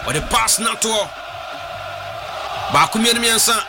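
A man with a Ghanaian accent doing live radio football commentary, shouting excitedly and mixing Twi and English. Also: very high voice, normal speed. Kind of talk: live radio play-by-play with read-in ads. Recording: noisy broadcast with crowd behind.

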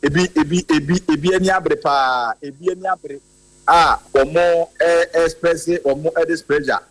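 A man's voice: fairly high pitch, steady tone, fairly bright tone, poor audio. Ghanaian man reporting on site. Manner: halting, many fillers, mixing Twi and English. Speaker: field reporter. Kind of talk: live on-site radio report.

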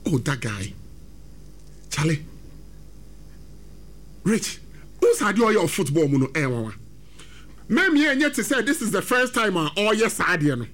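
A man with a Ghanaian accent hosting a football talk segment, animated and switching between English and Twi. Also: high voice, unhurried, pauses often. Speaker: sports radio host.

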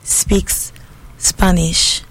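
A woman's voice: extremely slow, poor audio. A woman with Italian as her first language and a Nigerian-tinged English accent, a guest musician chatting playfully and confidently in an interview, laughing often. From Italy. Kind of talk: casual interview conversation.

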